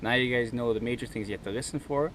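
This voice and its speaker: A man's voice: medium pitch, fast, a bit bright, slight background noise. A man giving an informal instructional demonstration, often checking in with "okay?" as he goes. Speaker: presenter, kayak angler.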